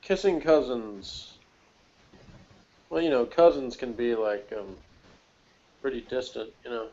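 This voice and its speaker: A man's voice: medium pitch, takes it slowly, dark tone. A man chatting casually as a podcast reviewer, in a rambling, jokey way. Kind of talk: casual chat. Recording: dull, lo-fi voice recording.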